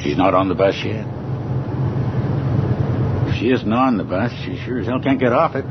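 An actor playing a skeptical, exasperated bus boss in an old radio drama, brusque and emphatic. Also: male voice, fairly low pitch, normal speed, lightly acted. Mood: reassuring, skeptical. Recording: dull, very noisy old radio recording.

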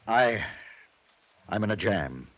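Anxious, husky-voiced pleading businessman. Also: fairly low pitch, lively intonation, pushed and bright, heavily acted. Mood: desperate pleading. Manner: halting, imploring. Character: anxious, pleading male wealthy businessman in danger. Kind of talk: 1950s radio drama acting.

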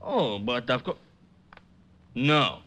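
An actor playing a sly Russian artist who sells gossip, theatrical and wheedling, with a Russian accent, in an old radio drama. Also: male voice, mid-range voice, lively intonation, drawn-out words, a bit bright. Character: sly, grubbing Russian male artist and informant. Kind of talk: 1940s-50s radio comic character acting.

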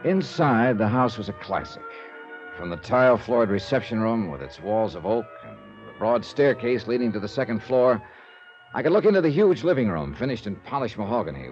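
A man narrating a 1950s radio detective drama in a wry, matter-of-fact tone. His pitch moves about a lot while he reads. The old radio transcription sounds dull and muffled, with faint music under it. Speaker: lead actor and narrator, investigator.

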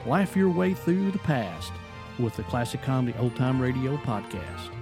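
A man delivers scripted podcast promotion as an announcer. His voice sits at a medium pitch. Music plays clearly behind him. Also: lively intonation, moderate pace.

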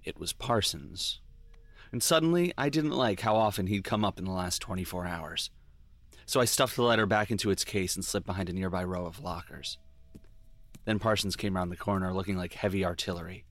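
Low, dry-voiced brooding noir detective. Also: male voice, a little fast, dry recording. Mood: tense, reflective. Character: brooding male police detective recalling his past mistakes. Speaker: lead character and narrator. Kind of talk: noir detective audio drama narration.

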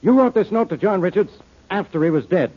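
A gruff, suspicious police inspector on a muffled vintage radio show. His rough-edged voice sits fairly high and swings widely in pitch as he needles people bluntly with hard-boiled sarcasm. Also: animated, fast. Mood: exasperated, accusing. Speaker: actor in a detective radio drama. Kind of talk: old-time radio detective acting. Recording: muffled old-time radio recording.

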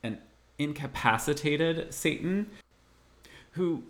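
A man's voice: a little high, expressive, takes it slowly, fairly bright tone. A man co-hosting a podcast, discussing theology in a casual scholarly way with jokey asides. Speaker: podcast co-host.

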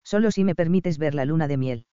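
A man's voice: a little high, a little fast, dark tone, clean tone, no echo, natural voice. A man voicing a smug teasing doctor. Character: teasing bossy male doctor, young adult. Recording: slightly dull dry audio.

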